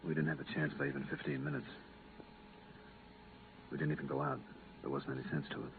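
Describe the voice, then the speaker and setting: Low-voiced, embittered spaceman. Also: big pitch swings, a little fast, gravelly, full character voice. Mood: grim. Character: embittered veteran spaceman, grim, resigned, stubborn. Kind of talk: 1950s radio drama acting. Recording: muffled, noisy vintage radio recording.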